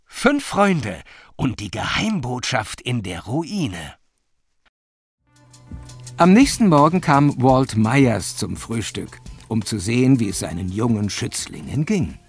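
A man's voice: medium pitch, big pitch swings, slow, slightly rough, no echo, soft background music, exaggerated voice. A man narrating a children's adventure radio play as its storyteller. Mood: storytelling.

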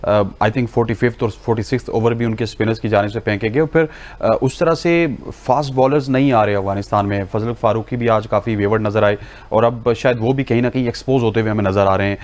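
A man's voice: fairly low pitch, fast talker, few pauses, a little mellow. A man hosting a studio cricket show, reading stats and questioning guests with frequent fillers. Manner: presenter tone with many fillers. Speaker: sports show host. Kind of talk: TV sports presenting.

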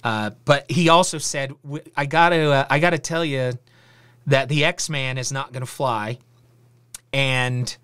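A middle-aged man with a rather high voice hosting a podcast, telling his story in a casual, animated way with filler words. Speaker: podcast host. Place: home studio with virtual backdrop.

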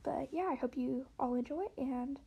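A woman's voice: sits high, fairly even intonation, normal speed, vocal fry, dark tone, breathy edge. A woman speaking casually and hesitantly, a self-deprecating amateur voice actor introducing an audition.

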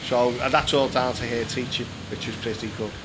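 A man's voice: mid-range voice, steady tone, a little fast. A British man in his fifties from England, chatting as an interview guest, telling stories and explaining things casually and repeating himself a little. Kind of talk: casual conversational storytelling. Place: small decorated indoor room. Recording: noisy room with background hum.

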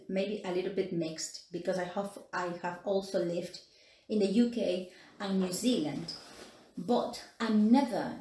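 A woman's voice: mid-range voice, warm tone. Woman, 40s, Peruvian Spanish accent, English teacher, chatty, tag questions, echoey room, phone mic. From Peru. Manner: chatty, laughs often, many fillers and tag questions. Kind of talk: casual live-stream teaching. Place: small room indoors.

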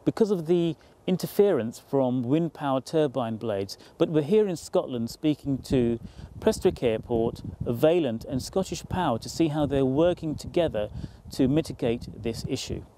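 Mellow male presenter outdoors. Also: medium pitch, lively intonation, moderate pace. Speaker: video presenter. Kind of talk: scripted piece to camera. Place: outdoors at an airfield. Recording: faint outdoor ambient noise.

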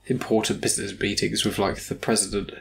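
A man chats casually as a podcast host. His voice sits a little low and he talks quickly, with a joking, teasing manner. There is faint noise behind him. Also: level tone, creaky. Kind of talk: casual film review chat.

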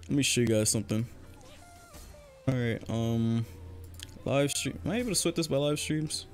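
Young male streamer, mid-pitched, loose and jokey, voice worn from long talking, faint game music behind. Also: normal speed. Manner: loose, joking, chatty with viewers, laughs often. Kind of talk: casual live-stream chat while gaming.

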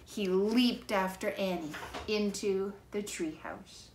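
A middle-aged woman narrating a children's book in a measured, dramatic way, recorded indoors by a camera a few feet away. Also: mid-range voice. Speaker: storybook reader. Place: living room, indoors. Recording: noisy living-room camera recording.

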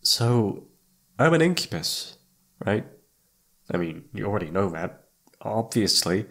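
A man's voice: fairly low pitch, big pitch swings, a little slow, a bit bright, full character voice. A man playing a shy, flustered young incubus roommate in an ASMR roleplay, hesitant and awkward, often trailing off. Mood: awkward, explaining. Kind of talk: ASMR roleplay audio drama.

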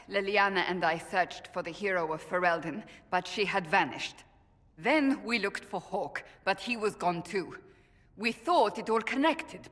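A woman's voice: medium pitch, a bit bright. A woman playing a fierce, devout, hot-tempered warrior in a video game, speaking with formal intensity and blaming herself. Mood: angry, accusing. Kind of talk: dramatic video game acting. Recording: long hall reverb.

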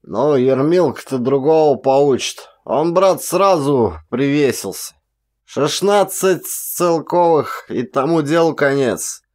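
A man voicing a boastful, scornful drunken ex-soldier in an audiobook, with hoarse, slurred, drawn-out speech. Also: on the high side, expressive, a little mellow, voice pushed up.

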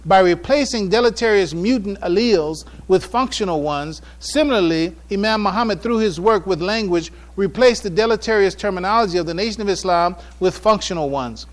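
A man giving a religious public address, halting and repeating phrases for emphasis. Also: sits high. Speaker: speaker addressing a mosque congregation.

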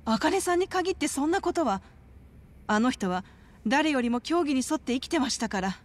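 An actress voicing a polite, composed middle-aged orphanage worker with a worried, formal way of speaking in a video game cutscene. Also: female voice, high-pitched, animated, moderate pace. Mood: calm, earnest.

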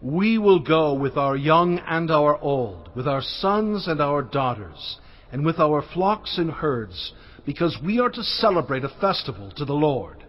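Moses, an earnest prophet. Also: male voice, a little high, very animated, unhurried, highs cut off. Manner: earnest, urgent.

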